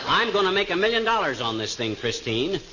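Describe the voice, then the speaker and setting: Folksy blustering amateur inventor, 1940s radio comedy, high animated delivery, slangy. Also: male voice, brisk, exaggerated voice. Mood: grandiose. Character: boastful, folksy middle-aged husband and amateur inventor. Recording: muffled, noisy old radio recording.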